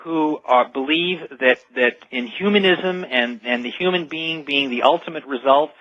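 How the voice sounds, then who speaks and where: A man's voice: fairly high pitch, moderate pace, fairly bright tone, dry recording. A man speaking as a guest expert in a radio interview, explaining in an emphatic storytelling way with rhetorical build-ups, over dull lo-fi sound.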